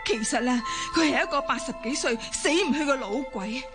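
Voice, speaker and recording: Spiteful elderly grandmother played by an actress, high voice, scornful radio drama delivery, loud music underneath. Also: brisk, natural voice. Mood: outraged, scornful. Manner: scornful, accusing. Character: spiteful, accusing elderly grandmother, once a nurse.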